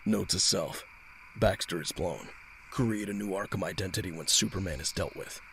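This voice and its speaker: An actor playing a brooding, secretive masked vigilante detective in an audio drama, gravelly and terse. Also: male voice, low voice, normal speed, background noise. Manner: terse, clipped.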